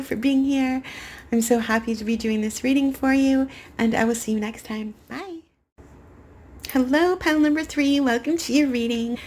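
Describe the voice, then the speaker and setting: A young woman giving a rambling spiritual tarot reading to camera, her voice raspy as she gets over a cold. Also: on the high side, slight background noise. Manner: soft, meandering spiritual talk with many fillers. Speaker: tarot card reader.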